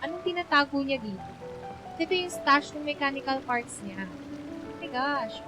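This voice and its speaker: High-pitched Filipino woman streaming a game. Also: lively intonation, normal speed, over music. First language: Tagalog. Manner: reactive, switches between Tagalog and English. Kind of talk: casual live gameplay commentary.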